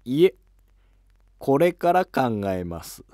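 A man voicing an ambitious, deferential court noble in an animated historical drama, speaking formally and urgently. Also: medium pitch, slow, dry recording, studio quality. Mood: earnest.

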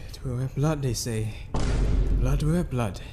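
A man's voice: mid-range voice, measured pace, lightly acted. A man playing a guilt-haunted, boastful and terrified king in a theatrical reading of a Shakespearean tragedy. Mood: brooding. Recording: noisy amateur recording.

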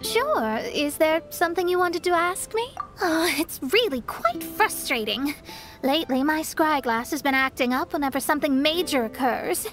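A woman's voice: sits high, lively intonation, normal speed, a bit bright, faint music behind. A woman voicing a proud, touchy young female astrologer in a video game, articulate and self-important. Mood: inquisitive, vexed. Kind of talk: video game character dialogue.

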